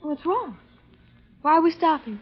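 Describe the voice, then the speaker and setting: A woman's voice: high voice, animated, a little slow. A woman acting an anxious, nagging wife, fretful and reproachful, in a 1940s radio drama. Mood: worried. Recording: muffled vintage radio with loud motor hum.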